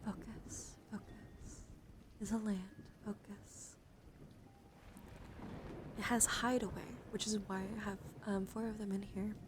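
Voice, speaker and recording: Female ASMR streamer, soft and soothing, drifting into whispers, close-miked with clear background noise. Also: mid-range voice, level tone, slow, long pauses. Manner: soft-spoken, often dropping into whispers; gentle, soothing, encouraging. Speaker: livestream host showing trading cards. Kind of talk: ASMR, soft casual chat.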